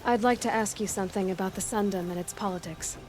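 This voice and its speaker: A woman's voice: mid-range voice, fast, a little mellow, soft background music, close to an everyday voice. A woman playing an inquisitive, level-headed young huntress in a video game, speaking plainly and directly. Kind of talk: video game cutscene acting.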